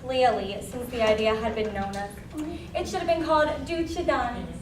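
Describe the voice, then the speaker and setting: A woman's voice: on the high side, normal speed, lightly acted. A woman playing a proud, scornful restaurant matriarch, telling family legend theatrically and emphatically in a stage comedy. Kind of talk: amateur stage comedy acting. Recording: echoey stage, distant mic, faint noise.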